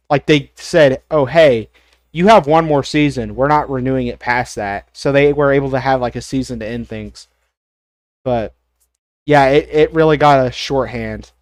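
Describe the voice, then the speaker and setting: A man's voice: mid-range voice, no room sound, studio quality. A congested man casually talking through his opinions on a live stream, rambling with lots of fillers and the odd swear. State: congested, coughing. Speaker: streamer ranking cartoons.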